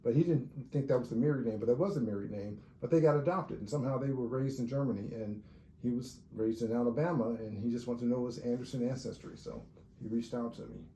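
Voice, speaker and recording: A man chatting casually about family ancestry, pausing often and restarting as he explains details. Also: medium pitch, dark tone, slight background noise. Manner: halting, many fillers and restarts while recounting genealogy. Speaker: relative talking about family research. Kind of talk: casual conversation. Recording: echoey room.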